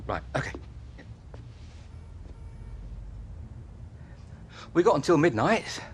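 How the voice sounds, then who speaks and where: A man's voice: a little high, very animated, fast, slightly rough. A man acting an anxious, apologetic middle-aged football agent in a video game cutscene, pleading and washed-up. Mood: flustered. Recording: background music.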